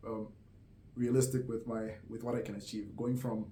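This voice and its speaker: Zambian guy rambling thoughtfully, lots of ums and likes, mellow voice, echoey room. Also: medium pitch, little pitch movement, moderate pace. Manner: hesitant, many fillers and soft laughs. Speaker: vlogger. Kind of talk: casual reflective monologue. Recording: roomy echo.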